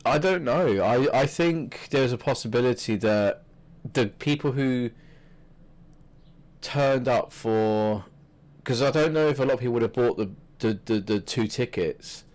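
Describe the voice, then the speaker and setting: A young man co-hosting a podcast, chatting about wrestling in a halting way with many restarts. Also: mid-range voice, a little mellow. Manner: hesitant, many restarts and fillers. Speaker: podcast co-host. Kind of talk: casual wrestling chat.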